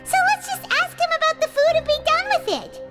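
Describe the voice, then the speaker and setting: A woman voicing a tiny, excitable, whiny fairy-like girl companion in an anime-style video game, exclaiming like a small child. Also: extremely high pitch, brisk, music in the background. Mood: indignant, worried. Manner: whiny, exclaiming, speaks of herself in third person.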